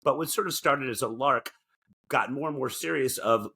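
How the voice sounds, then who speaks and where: A man co-hosting a podcast in casual comedic chat, husky, with a wry, self-deprecating way of joking. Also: medium pitch, normal speed, pushed and bright. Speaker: podcast co-host.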